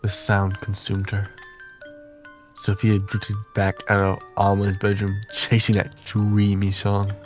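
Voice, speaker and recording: A man reading a visual novel aloud as dramatic narration, with deliberate, suspenseful phrasing. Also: lowish voice, completely flat delivery, faint music behind.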